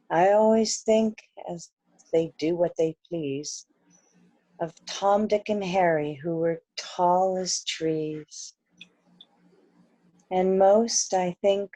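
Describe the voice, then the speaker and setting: A wistful village woman sings an unhurried folk song, as a woman reads the part. The voice is dark and mellow, with a dry, close sound and no room around it. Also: fairly low pitch, dry recording, rough recording. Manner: slow, soft folk-song singing. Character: Polly Garter, wistful village woman singing. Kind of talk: sung reading of a radio play.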